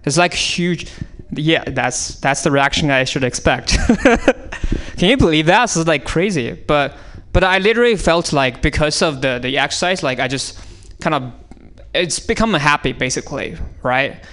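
Smooth-voiced man with a Chinese accent. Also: a little high, expressive, normal speed, a bit bright. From China. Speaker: comedian riffing on prompts. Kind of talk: improvised comedy on audience prompts. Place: radio studio.

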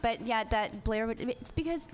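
A young woman co-hosting a casual chat, animated, laughing and swearing freely. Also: on the high side, moderate pace, noisy background.

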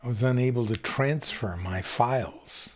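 A man hosting a radio show, apologizing and talking haltingly with many fillers. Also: mid-range voice. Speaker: radio host.

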